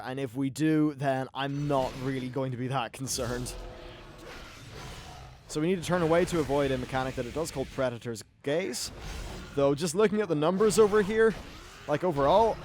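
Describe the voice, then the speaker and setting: A man giving casual live-play game commentary in a chatty, wry, casually sweary way. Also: fairly high pitch. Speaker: gaming video host. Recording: clear background noise and game ambience.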